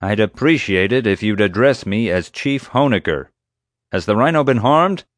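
A man's voice: on the low side, expressive, moderate pace, no echo, studio quality, voice pushed up, natural voice. A man voicing a stiff, officious male security chief in an audiobook, with a pompous, clipped, commanding manner. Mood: officious, stern. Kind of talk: audiobook character dialogue.

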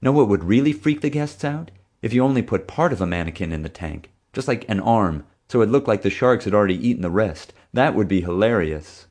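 Casting: man as clever, slightly nervous schoolboy hero of a storybook, explanatory, raised a little from a deep voice. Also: animated, fairly quick, hardly any pauses, a little mellow. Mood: explaining. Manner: explanatory, evasive under pressure. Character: smart, anxious schoolboy, son of zoo scientist.

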